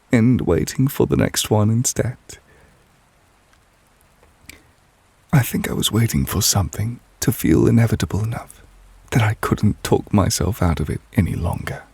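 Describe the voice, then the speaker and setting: A man playing a devoted, tender but possessive lover in a romantic ASMR roleplay, speaking softly and intimately in a measured, wistful way, close to the microphone. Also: lowish voice, no echo. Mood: nervous, tender. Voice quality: soft, breathy, husky. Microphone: close, dry microphone.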